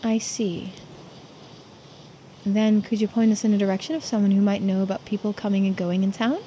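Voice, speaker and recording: A woman voicing a shrewd female police investigator in a mystery game, measured, polite and in charge. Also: medium pitch, flat delivery, dark tone, slight background noise, natural voice, low-quality recording. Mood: polite, probing.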